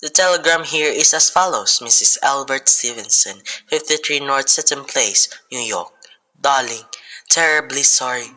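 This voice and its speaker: Woman doing a telegraph clerk, super low sharp voice, formal n impersonal, radio drama. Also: normal speed, rough recording. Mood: detached. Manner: formal, detached, clipped.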